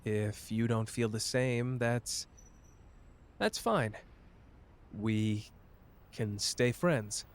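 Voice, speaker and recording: A man playing a cocky but wounded young sorcerer in an intimate roleplay audio drama, with halting, emotional delivery. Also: medium pitch. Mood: tender, earnest.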